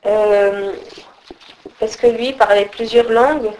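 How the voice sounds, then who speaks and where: Flat-voiced woman interviewer. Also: on the high side, takes it slowly, slight background noise, rough recording. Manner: brief prompting questions. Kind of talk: oral history interview.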